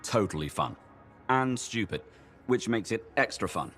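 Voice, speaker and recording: A man's voice: on the low side, animated, moderate pace, fairly bright tone, faint music behind. An actor playing a playful, joking male traveler in a video game cutscene. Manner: joking, teasing, theatrical.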